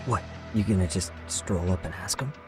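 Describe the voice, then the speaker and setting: A man's voice: deep voice, normal speed, music in the background, close to an everyday voice. A man as wry, easygoing young sidekick. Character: laid-back, wry young male sidekick. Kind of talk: English dub acting for a game cutscene.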